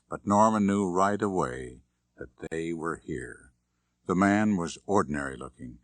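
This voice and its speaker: Deep-voiced male suspense narrator. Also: no echo. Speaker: audiobook narrator. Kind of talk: dramatic scripted narration of a suspense novel.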